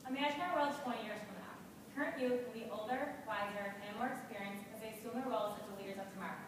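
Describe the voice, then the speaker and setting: A young woman from Buffalo, New York, recites a rehearsed student presentation at a quick clip. She is picked up from a distance in a large, booming auditorium with long reverb and steady background noise. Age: young adult. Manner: brisk, recited delivery. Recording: echoey hall, distant mic, noisy.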